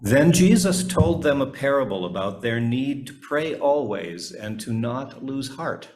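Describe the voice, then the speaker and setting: A man's voice: mid-range voice, lively intonation, a little slow, a little mellow. A man reading Bible passages aloud as a scripture reader in a church service.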